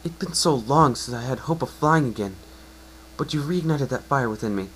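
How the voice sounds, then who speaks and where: A man's voice: medium pitch, animated, normal speed, slight background noise, voice pushed up, natural voice, poor audio. A man voicing a grateful, wistful pony who has lost flight, in an amateur story reading. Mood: emotional, grateful.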